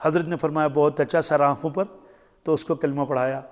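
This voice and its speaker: A man preaches in a high-pitched voice. He teaches in a storytelling sermon style, repeating phrases and questioning his listeners. His words ring out with long reverberation in a large hall. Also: steady tone, brisk.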